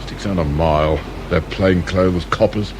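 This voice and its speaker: A man's voice: deep voice, unhurried, noisy background. An actor playing a grumpy middle-aged police inspector in a radio drama, sarcastic and impatient as he barks orders. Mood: sardonic, brisk. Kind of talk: British radio drama acting.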